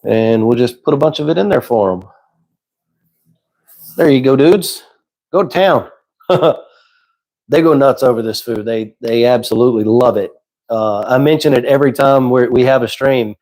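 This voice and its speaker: Man, hoarse voice, casual livestream host, relaxed chat, middle pitch. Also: normal speed, pauses often. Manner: relaxed, conversational, answers chat questions. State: hoarse, losing his voice.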